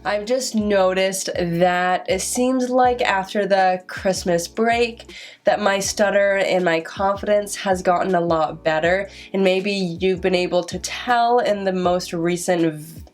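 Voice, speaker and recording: A woman's voice: medium pitch, slow pace, faint music behind. A young woman in her twenties vlogging to camera, talking openly and stuttering with repeated sounds and restarted words. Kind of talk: casual vlog talk to camera.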